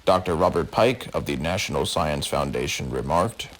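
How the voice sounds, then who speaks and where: A low-voiced formal TV anchor. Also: male voice, expressive, fairly bright tone, full character voice, low-quality recording. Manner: formal, measured delivery. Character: measured male TV news anchor, formal. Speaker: news anchor. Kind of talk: scripted 1980s TV news broadcast.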